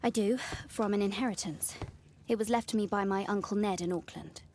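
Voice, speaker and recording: With slight noise behind her, an actress plays a young British lady of Victorian times in a video game. She speaks earnestly and formally at a middling pitch, a polite but anxious client seeking help. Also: fairly quick, darkish tone, natural voice. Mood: earnest, discreet. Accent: British English. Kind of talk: video game dialogue acting.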